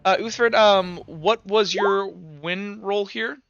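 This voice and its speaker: A man's voice: high-pitched, takes it slowly, gravelly, a bit bright, clean tone, dull audio. A young man running a tabletop game as game master, talking casually, stumbling over rules and narrating gory combat.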